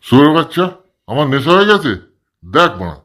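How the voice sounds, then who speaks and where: A man's voice: a little high, very animated, brisk, fairly bright tone. A man voicing a protective, short-tempered village father in a comedy cartoon, with a West Bengal Bengali accent. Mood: furious. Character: gruff, protective village father, quick to foul-mouthed rage. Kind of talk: amateur comedy cartoon dubbing.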